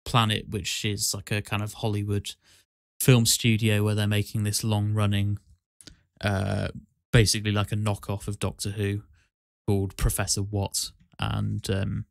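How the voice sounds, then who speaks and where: A man hosting a casual podcast, explaining things in a halting, conversational way. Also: lowish voice, monotone, vocal fry, no echo, studio quality. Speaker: podcast host and amateur voice actor.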